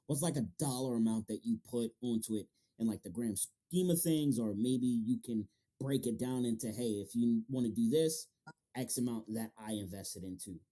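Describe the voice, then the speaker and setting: Male interviewer, mid-pitched and very relaxed in tone, informal and slangy, conversational delivery. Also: normal speed, poor audio. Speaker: interviewer, show host. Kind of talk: casual interview chat.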